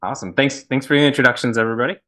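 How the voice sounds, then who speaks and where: Guy hosting a team call, talks fast, bright kinda lowish voice. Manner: quick, upbeat, loose restarts. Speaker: host, head of product. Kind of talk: hosting a video call panel. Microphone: video call.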